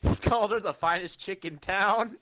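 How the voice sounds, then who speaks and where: A man's voice: high voice, normal speed, sharp tone. A man co-hosting and narrating a joking amateur dramatic reading of a comic book, with banter. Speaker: podcast co-host and narrator.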